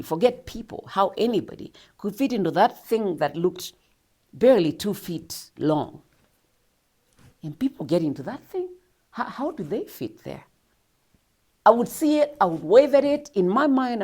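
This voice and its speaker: A woman's voice: lowish voice, dark tone. A Kenyan woman telling her life story as an interview guest, speaking in a reflective, earnest way with laughs now and then.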